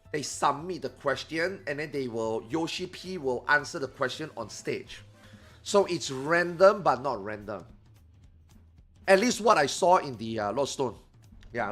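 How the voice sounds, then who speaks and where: A man streaming live who chats casually, swears freely and reacts loudly to his viewers, and he says he is losing his voice. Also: a little high.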